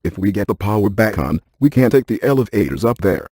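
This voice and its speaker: An actor playing a brainy, dryly cautious ghost hunter scientist in a video game, speaking in a deadpan, precise, technical way. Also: male voice, on the low side, a little fast, husky, no room sound. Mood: matter-of-fact, explanatory. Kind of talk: scripted video game character dialogue.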